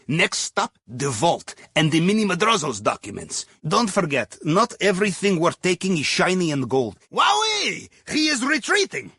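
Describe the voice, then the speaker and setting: A man's voice: sits high, pushed and bright, breathy edge, no room sound, clean studio sound, exaggerated voice. A man playing a wry, jovial Russian submarine captain who guides a heist crew in a video game, speaking with a Russian accent and a conspiratorial manner. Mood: instructive, cautionary, then excited, relieved. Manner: wry, conspiratorial, folksy asides. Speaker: mission guide giving instructions. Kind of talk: video game mission dialogue.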